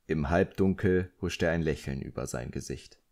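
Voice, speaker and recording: A man with a low-pitched voice narrates an audiobook. He reads scripted literary prose as a storyteller. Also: normal speed, vocal fry, darkish tone.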